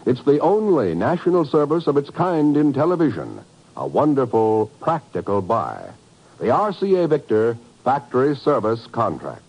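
A man's voice: medium pitch, huge pitch swings, moderate pace, slightly rough, dull audio. A man announcing in a polished, emphatic 1950s radio style, reading scripted sponsor messages.

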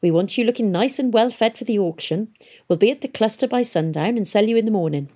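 A woman's voice: medium pitch, lively intonation, fast talker, dark tone, husky, pitched above the performer's own voice. A woman voicing a cheerful, chatty male town boss who sounds regretful as he explains himself. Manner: genial, chatty, mock-regretful.

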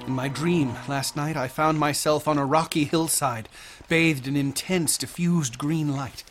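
Radio drama: young male student, haunted and obsessive, slightly high voice, intense, formally articulate played by a man. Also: fairly even intonation, unhurried, faint music behind, lightly acted. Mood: haunted awe. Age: young adult.

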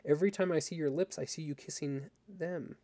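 A man's voice: mid-range voice, normal speed, vocal fry, mellow, natural voice. A man voicing a wounded, bitter man in a story, speaking with steely, anguished insistence to the woman he loves. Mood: anguished, angry. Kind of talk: dramatic audiobook character reading.

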